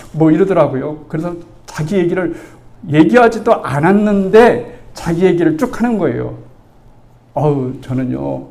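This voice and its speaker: A gravelly, mellow-voiced man in his sixties preaches as a pastor. He tells a personal story in a chatty way, acting out the dialogue and turning to the congregation for agreement. His voice sits somewhat high for a man. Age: 60s. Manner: conversational, asks the congregation for agreement; animated storytelling, acts out the dialogue. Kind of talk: storytelling sermon.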